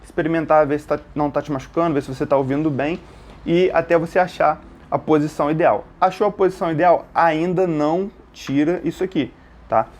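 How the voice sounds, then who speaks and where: A man's voice: a little high, steady tone, a little fast, a little mellow. A man with a Brazilian accent giving an informal step-by-step gear tutorial, explaining as he works and often checking in with short tag questions. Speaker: motorcycle gear tutorial presenter. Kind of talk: informal step-by-step hands-on instruction.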